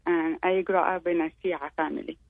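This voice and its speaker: A woman from Saudi Arabia, a guest on a radio show, telling her personal story in an earnest, soft-spoken way. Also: fairly low pitch, little pitch movement, brisk, vocal fry, dry recording. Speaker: call-in guest. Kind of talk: personal testimony. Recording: tinny phone line.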